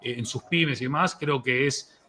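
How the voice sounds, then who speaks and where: A man's voice: mid-range voice, moderate pace, bright, forceful tone, a little hiss or hum. A man hosting a casual, unscripted interview, effusive and enthusiastic toward his guest. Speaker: host of a live interview show.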